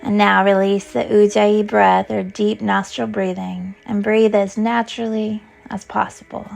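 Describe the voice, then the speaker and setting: Woman guiding meditation, slightly creaky voice. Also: medium pitch, flat delivery, faint music behind, poor audio. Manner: soothing, gentle, unhurried instruction. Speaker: guided meditation teacher. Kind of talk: guided yoga nidra meditation.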